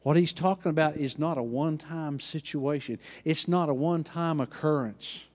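Folksy Oklahoma preacher, soft then loud. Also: male voice, fairly high pitch, moderate pace, in a large hall. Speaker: pastor. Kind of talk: sermon. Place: church.

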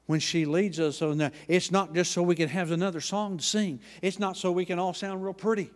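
A man with an Oklahoma accent preaching a sermon in a church, with a folksy manner, dropping low and quiet and then rising loud. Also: fairly even intonation, brisk, in a large hall. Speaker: pastor.

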